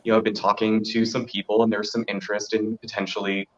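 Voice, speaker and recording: A man with a deep voice telling stories casually, as an interview guest who performs in drag. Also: level tone, fast talker, fairly bright tone. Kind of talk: casual interview chat, storytelling. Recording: dull, lo-fi audio.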